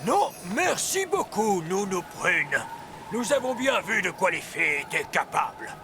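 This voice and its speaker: Cautious old male elf, pompous, slow-paced, swooping intonation, cartoon dub, music behind. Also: sits high, sing-song, noisy background, heavily acted. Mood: proud, self-assured. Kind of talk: animated children's cartoon dub.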